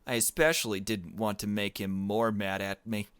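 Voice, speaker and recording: A man's voice: on the low side, fairly even intonation, normal speed, a bit bright, clear, resonant tone, no room sound, close to an everyday voice. A man reading the part of a chatty, morbid young girl who narrates a visual novel. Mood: downcast.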